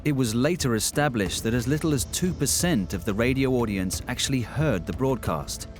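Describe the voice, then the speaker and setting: Documentary narrator: man, medium pitch, measured and formal scripted read over faint music. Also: expressive.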